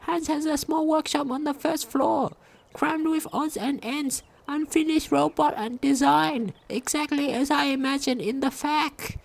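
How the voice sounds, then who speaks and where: A woman's voice: sits high, fairly even intonation, unhurried, creaky. A woman reading a diary aloud during a game playthrough, sometimes stumbling over longer words. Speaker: game commentator.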